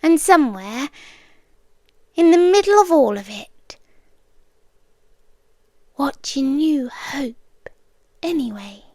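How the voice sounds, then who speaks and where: A British woman playing an otherworldly, possessive stalker girlfriend in an ASMR roleplay, soft and breathy, often whispering, intimate and eerily tender. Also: high voice, expressive, no room sound, clean studio sound. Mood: tender, musing. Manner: slow, deliberate, intimate, teasing.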